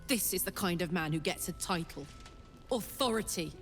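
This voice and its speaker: A woman's voice: fairly low pitch, animated, moderate pace, faint music behind. A woman playing a brash ex-bodyguard warrior in a fantasy video game, blunt and emotive. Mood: bitter. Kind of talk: dramatic video game acting.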